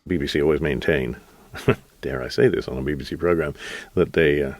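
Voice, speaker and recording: A man's voice: a bass voice, brisk, no room sound. A man reminiscing in an interview in a wry, self-deprecating way.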